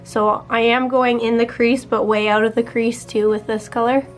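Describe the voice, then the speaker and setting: A young woman chatting casually while doing her makeup, her voice a little raspy, walking through each step in a relaxed way. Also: on the high side, normal speed, faint music behind. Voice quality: slightly raspy. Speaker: beauty vlogger.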